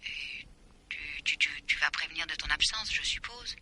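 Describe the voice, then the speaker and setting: A woman's voice: deep voice, expressive, moderate pace, noisy background. An actress in a French radio mystery drama playing an insistent, commanding woman who teases and keeps secrets. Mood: insistent, secretive.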